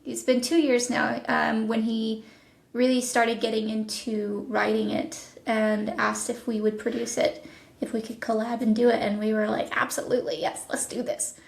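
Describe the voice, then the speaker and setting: A woman speaking as an interview guest and producer, pitching a project conversationally with enthusiasm and thoughtful pauses. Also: level tone, gravelly. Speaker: podcast guest, audio drama producer. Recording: echoey, roomy sound.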